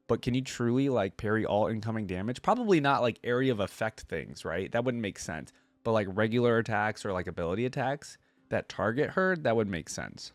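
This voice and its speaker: A man's voice: mid-range voice, dry recording. A man hosting a reaction video, talking informally and thinking aloud as he comments and reads text out. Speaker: video host reacting to game lore.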